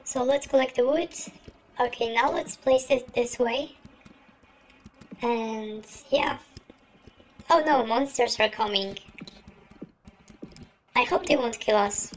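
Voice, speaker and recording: A man's voice: slow pace, long pauses, slight background noise, full character voice, poor audio. A young man voicing a little girl through a real-time voice changer, giving casual game commentary. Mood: playful. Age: young adult. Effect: real-time pitch-raising voice changer.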